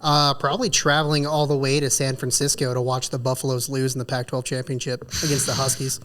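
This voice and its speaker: A man's voice: medium pitch, flat delivery, fast, a bit bright. A man chatting informally as one of the panel on a podcast. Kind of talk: casual chat.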